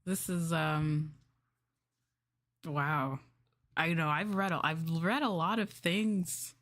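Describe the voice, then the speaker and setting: A woman with a slightly low voice talks casually to her livestream audience. She is expressive and giggly, drawing out her exclamations as she hosts. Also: slow pace. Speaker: livestream host reading scripts to chat.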